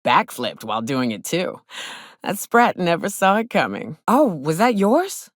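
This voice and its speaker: Game companion casting: tough female frontier hunter, low voice, lively swings, sardonic folksy drawl, close dry sound. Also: a little slow, dry recording. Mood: sardonic, teasing. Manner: blunt, wry, teasing. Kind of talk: video game companion dialogue.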